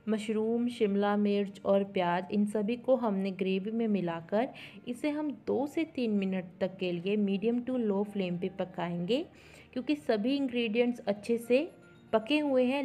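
A woman presents a cooking tutorial as a voice-over, with a medium-pitched voice. She explains each step in an instructional way and drops in English words, with faint music playing behind her. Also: warm tone. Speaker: cooking show host.

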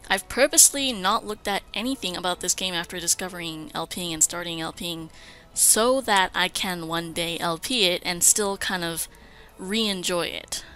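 Female let's play host, bright and chatty, enthusiastic and informal, faint music underneath. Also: lowish voice, normal speed, rough recording. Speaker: gaming host. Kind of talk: casual let's play commentary.

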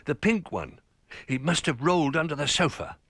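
Man voicing an eager, lively toy dog. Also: on the high side, normal speed, no echo, clean studio sound, pitched above the performer's own voice. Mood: dismayed.

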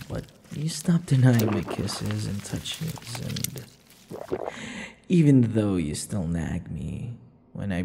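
A man playing a possessive vampire lover in an intimate ASMR roleplay, teasing and menacing, murmuring slowly with drawn-out words. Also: exaggerated voice. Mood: coaxing, possessive. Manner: slow, breathy murmurs, drawn-out words, low chuckles. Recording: long reverb, faint background noise.

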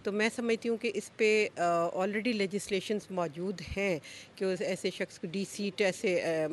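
A woman in her fifties with a Pakistani accent, giving measured, halting interview answers and mixing in English terms. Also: medium pitch, steady tone. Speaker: interviewed politician. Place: outdoors, parliament forecourt. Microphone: handheld interview microphone. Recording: faint outdoor ambient noise.

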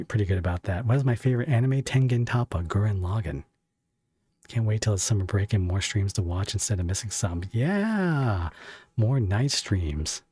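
A man's voice: on the low side, a little mellow, breathy edge, dry recording. A man streaming from home, chatting casually with viewers in a rambling, self-interrupting way and laughing often. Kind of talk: casual livestream chat with viewers.

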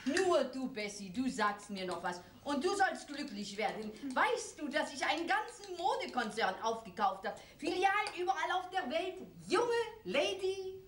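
A woman's voice: sits high, very animated, normal speed, few pauses, fairly bright tone. An actress playing a posh older woman from Paris, grand and affected, who drops French phrases into her talk. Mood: fond. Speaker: Tante Mary, elegant aunt from Paris. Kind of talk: 1970s televised stage comedy. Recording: roomy TV stage recording from a distance, faint hiss.

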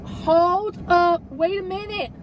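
A young woman with a Southern accent, chatting casually in a playful, excitable way while vlogging from a parked car. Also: extremely high pitch, slow. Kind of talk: casual car vlog chat. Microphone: camera on the dashboard. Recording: noisy car with outside ambience.

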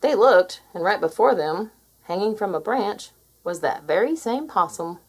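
A woman reading a children's story aloud in an expressive style. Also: medium pitch, moderate pace, slightly rough. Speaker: storybook reader.

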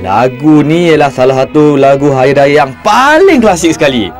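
A man's voice: a little high, expressive, normal speed, soft background music, natural voice. A man with a Singaporean Malay accent voicing an earnest, sentimental father in a cartoon, explaining things to his children. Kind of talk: cartoon dialogue.

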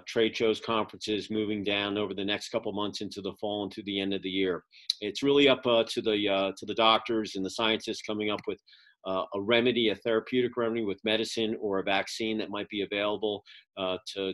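A man's voice: lowish voice, a bit bright. A middle-aged man, a magazine publisher, giving a casual update over a video call from a home office, rambling with many fillers. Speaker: magazine publisher and event producer. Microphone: video call webcam.

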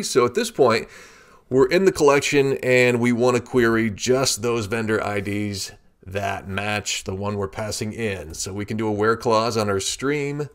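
Low-voiced man explaining code. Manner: steady step-by-step explaining. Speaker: programming tutorial instructor. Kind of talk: screen-recorded coding walkthrough.

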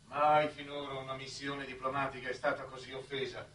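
An actor playing a fussy, pompous government minister in a 1960s Italian television drama. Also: male voice, mid-range voice, little pitch movement, a little fast, a bit bright, natural voice. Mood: indignant. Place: television studio set. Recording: distant, noisy old television soundtrack.

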